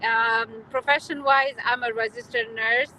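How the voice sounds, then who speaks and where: Bright, high-voiced woman from Nepal, noisy car. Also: fairly even intonation, measured pace. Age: middle-aged. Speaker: interview guest. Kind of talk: casual live interview chat. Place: inside a car. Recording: street and car noise.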